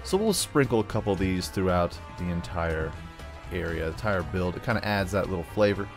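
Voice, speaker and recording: An American man with a fairly low voice talks over a bed of music. He commentates on a game casually, rambling as he thinks aloud. His manner is playful and unscripted, the easy patter of a video host at work. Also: expressive, a little fast. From United States. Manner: playful, often slips into put-on drawls. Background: background music.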